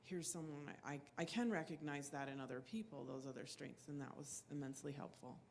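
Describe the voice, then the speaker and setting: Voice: medium pitch, fairly even intonation, fairly quick, vocal fry, slight background noise. An audience member at a conference workshop, sharing a chatty comment that stumbles over repeated words. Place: conference room.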